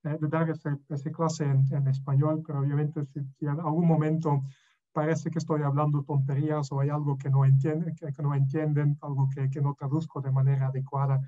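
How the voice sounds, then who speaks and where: A man's voice: on the high side, level tone, brisk, warm tone. Hesitant non-native lecturing man. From Belgium. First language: not Spanish. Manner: hesitant, many fillers and restarts. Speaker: professor. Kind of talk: online lecture. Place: room at home. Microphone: webcam on a video call. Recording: dull lo-fi video call audio.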